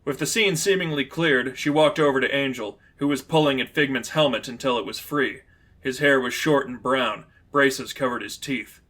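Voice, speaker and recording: Guy narrating a superhero story, average pitch, scripted audiobook style reading. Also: moderate pace, fairly bright tone. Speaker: narrator, reader.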